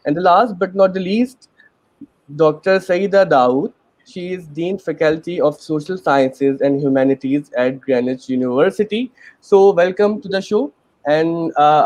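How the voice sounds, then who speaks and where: A man's voice: on the high side, a little mellow, dry recording, poor audio. A man with a Pakistani accent hosting a panel webinar in a chatty, earnest way, with many fillers. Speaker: webinar host.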